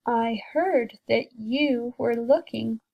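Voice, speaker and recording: A helpful male raccoon from a children's storybook offers what he has found in a friendly way, voiced by a woman reading aloud. He talks slowly, with a gravelly tone that sits a little high. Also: muffled recording, full character voice.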